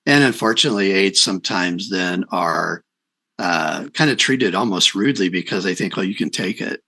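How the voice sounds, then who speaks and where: A man's voice: sits low, lively intonation, normal speed, bright, forceful tone, husky. A man hosting a conversational interview, explaining things in an affirming way and voicing short imagined quotes. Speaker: interview host. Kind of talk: conversational explaining of personality types.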